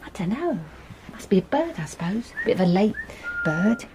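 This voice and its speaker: An actress with an English accent playing a gentle, anxious young wife and mother in a period radio drama, polite and soft-spoken. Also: mid-range voice, big pitch swings, normal speed, background noise. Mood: tender, hushed.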